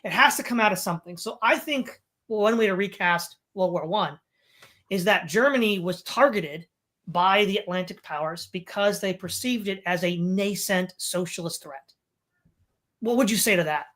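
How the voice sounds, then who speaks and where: Bright-voiced woman in podcast discussion. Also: mid-range voice, moderate pace. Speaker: podcast interviewer. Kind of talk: opinionated interview discussion.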